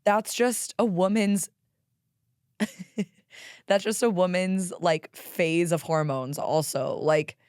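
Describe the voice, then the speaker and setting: A young woman with a raspy, hoarse voice talks candidly and with some profanity as the host of a solo podcast. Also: on the low side, takes it slowly, pauses often, dry recording, studio quality. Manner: candid, profane, animated with laughs. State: hoarse from karaoke singing.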